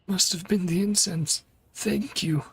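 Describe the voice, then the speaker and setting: A woman's voice: on the low side, steady tone, a little slow, background noise. A woman game streamer giving casual, chatty commentary and reading dialogue aloud as she plays, sometimes trailing off. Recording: music playing behind the voice.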